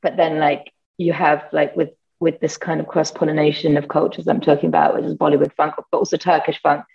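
A woman's voice: low-pitched, level tone, fairly quick, vocal fry, breathy edge, highs cut off. A woman with a British accent, a guest musician chatting casually and enthusiastically in an interview, rambling with lots of "like" fillers.

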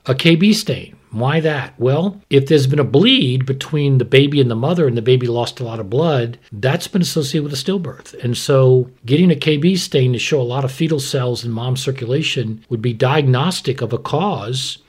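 A man's voice: mid-range voice, moderate pace, hardly any pauses, slightly rough. A man giving a conversational medical explanation as an expert, plain-spoken and didactic, often posing questions and answering them himself. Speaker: physician expert explaining to a co-host.

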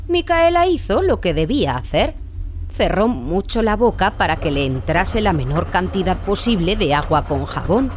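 A woman's voice: medium pitch, a little hiss or hum, lightly acted. A woman narrating a children's radio tale playfully, repeating words for effect. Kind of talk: scripted children's story narration.

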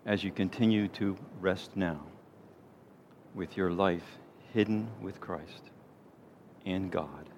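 Low-voiced man leading a gentle guided meditation. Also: muffled recording. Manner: gentle, unhurried, soothing delivery with pauses. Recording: clear background noise, low rumble.

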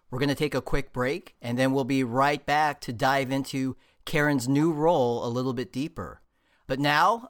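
Man hosting a conversational interview. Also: mid-range voice. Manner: conversational, searching for words. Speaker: podcast host.

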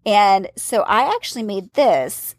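A woman's voice: medium pitch, sing-song, unhurried, dry recording. Chatty woman, dry close sound. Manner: chatty, describes recipes step by step. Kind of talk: casual chat about cookbooks.

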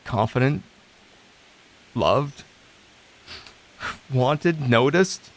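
A man acting the part of a lonely, self-doubting recovery coach in an audio drama, halting and introspective. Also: mid-range voice, animated. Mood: subdued, receptive.

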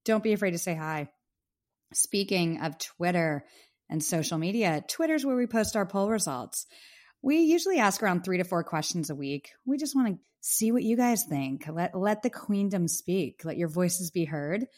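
A woman's voice: lowish voice, animated, normal speed, a little mellow. Chatty woman losing her voice. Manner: chatty, laughs easily. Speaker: podcast co-host. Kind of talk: casual podcast chat.

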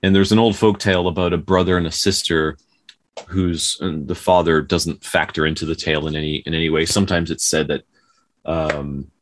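A man co-hosting a podcast, casually retelling folktales in a halting, self-correcting way. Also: rumbling low, level tone, a little hiss or hum. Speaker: podcast co-host.

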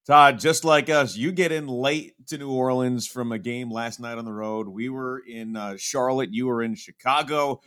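A man's voice: medium pitch, steady tone, moderate pace, hardly any pauses, fairly bright tone. A man hosting a sports podcast, giving conversational commentary on games and teams.

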